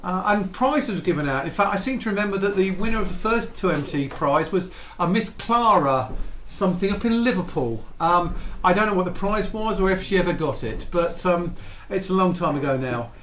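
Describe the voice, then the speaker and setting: A man's voice: sits high, animated, brisk. A man telling a history story with enthusiasm, tired and losing his voice. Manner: warm, enthusiastic, with many restarts. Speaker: radio history presenter. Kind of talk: storytelling talk. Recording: echoey room with faint ambient noise.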